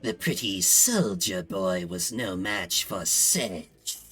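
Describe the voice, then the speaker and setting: A man voicing a ravenous, gloating video game villain who feasts on soldiers, with drawn-out menace. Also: deep voice, animated, a bit bright, voice pushed down, full character voice.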